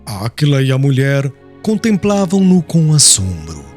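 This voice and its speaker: Expressive male audiobook narrator. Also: mid-range voice, soft background music. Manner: measured, expressive storytelling.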